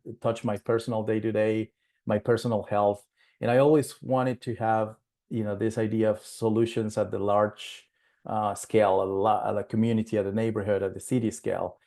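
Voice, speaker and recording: A man's voice: fairly low pitch, dark tone. A man from Peru presenting a planning webinar, speaking with many fillers and restarts.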